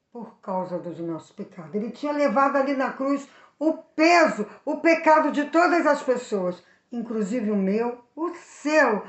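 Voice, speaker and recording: Brazilian woman storyteller, higher voice, lively and direct with young listeners, roomy sound. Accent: Brazilian Portuguese. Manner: animated, addresses the young viewers directly. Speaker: children's Bible storyteller. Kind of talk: storytelling for children.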